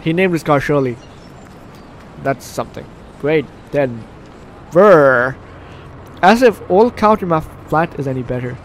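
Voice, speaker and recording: A man doing let's play commentary, reading game text aloud in an animated, slightly stumbling way. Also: on the high side, unhurried, long pauses, a little hiss or hum. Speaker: video game streamer. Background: game music.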